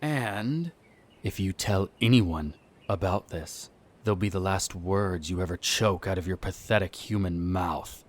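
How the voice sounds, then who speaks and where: Guy doing a snobby vampire noble, lowish voice, smug n formal, looks down on humans, audio drama. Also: a little slow. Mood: menacing, smug. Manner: haughty, disdainful, formal diction. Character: haughty aristocratic male vampire, smug and contemptuous. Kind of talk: roleplay audio drama acting.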